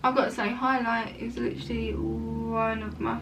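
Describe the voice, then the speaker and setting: A young woman doing a casual makeup tutorial at home, chatty and full of rambling asides, her voice a bit croaky today. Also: on the high side, flat delivery, moderate pace, distant mic, over loud music, poor audio. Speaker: makeup vlogger. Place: room at home.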